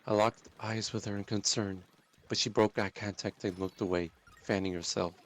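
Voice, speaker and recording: A man with a fairly low voice reads a dramatic first-person story. His narration is deliberate and intense, carrying plenty of emotion. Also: steady tone.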